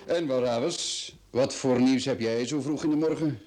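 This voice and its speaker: A man's voice: medium pitch, normal speed, background noise, natural voice. An actor with a Flemish accent playing a curt, sarcastic, dismissive male company boss in a radio drama. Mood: cocky, dismissive.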